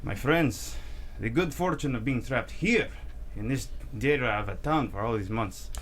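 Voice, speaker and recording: An actor playing a young Russian gangster, a smooth refugee with calm, quiet menace, in a screenplay table read. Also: male voice, medium pitch, measured pace, a little hiss or hum, close to an everyday voice. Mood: confident, persuasive.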